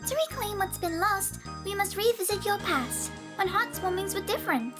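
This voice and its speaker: A little unicorn spirit with a very high, small, girlish voice. The creature speaks gently and knowingly, as a character in a cartoon-pony audio story. Music plays clearly underneath. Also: animated, normal speed. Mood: calm, knowing. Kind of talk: audio fan fiction character acting. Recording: music behind the voice.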